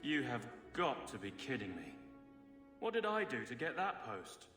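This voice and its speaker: An actor playing a plain-spoken, put-upon city guardsman in a video game, grumbling and gruff in manner. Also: mid-range voice, sing-song, moderate pace, over music, natural voice. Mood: annoyed. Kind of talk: video game character acting.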